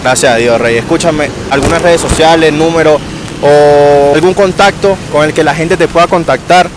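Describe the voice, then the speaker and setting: A man's voice: a little high, moderate pace, noisy background. A young man, the vlogger, asking friendly, informal questions of street performers in a city park. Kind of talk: casual street interview. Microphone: handheld clip mic in a selfie shot.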